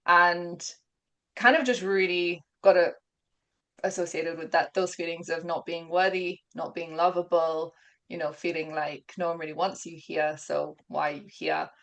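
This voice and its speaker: A woman's voice: fairly low pitch, fairly even intonation, normal speed, gravelly, a bit bright. A woman from England and Gibraltar with a mixed British accent, a guest on a video call telling her life story openly and earnestly. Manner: open, earnest, laughs often while telling her story. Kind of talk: personal story in an interview.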